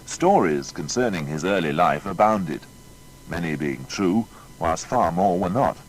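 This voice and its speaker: A man narrating a scripted biography in a formal, measured storytelling manner. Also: low-pitched, expressive, slight background noise, rough recording.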